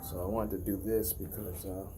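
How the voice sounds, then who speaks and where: A man's voice: sits low, little pitch movement, normal speed, noisy background. A man giving a casual narrated walkthrough as a tenant, explaining things plainly and repeating points for emphasis, recorded while walking around a parking lot.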